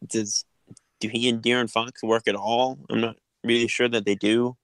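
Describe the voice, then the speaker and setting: A man's voice: mid-range voice, level tone, normal speed, a bit bright, no room sound, rough recording. A man hosting a casual sports podcast, talking in an easygoing way with lots of fillers and restarts. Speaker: podcast host.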